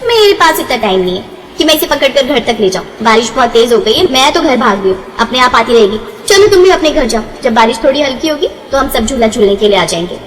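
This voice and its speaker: A woman's voice: on the high side, sing-song, fast, echoey room, in a large hall, low-quality recording. A woman voicing a cold, selfish young stepsister who speaks in a dismissive, cutting way, in a moral story.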